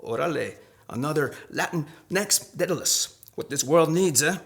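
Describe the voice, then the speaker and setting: Male poet from Arizona, slightly high-pitched, for readings and talk on his own poetry. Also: lively intonation, moderate pace, fairly bright tone. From Arizona, United States.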